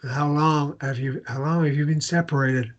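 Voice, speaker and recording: A man's voice: on the high side, steady tone, moderate pace, highs cut off, natural voice. A man acting a protective, insistent character in a naturalistic drama table read, bluntly probing and stumbling into repetitions. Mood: concerned probing.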